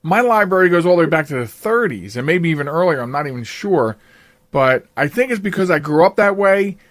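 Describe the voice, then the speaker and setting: Guy podcast host, higher voice, casual and chatty, really dry sound. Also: lively intonation, fairly quick, dry recording. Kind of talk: casual radio-style interview chat.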